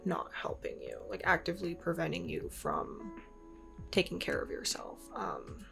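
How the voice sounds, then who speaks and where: A woman's voice: fairly low pitch, wildly swooping pitch, unhurried, creaky, a bit bright, soft background music. A woman in her twenties talking casually and openly to her channel, rambling with lots of fillers. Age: 20s. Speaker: YouTuber. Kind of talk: casual personal vlog.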